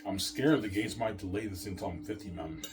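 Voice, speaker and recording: A man's voice: low-pitched, a little fast, faint music behind. A man in his thirties rambling to his viewers in a self-assured, repetitive way, often restarting his sentences. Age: 30s. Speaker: vlogger.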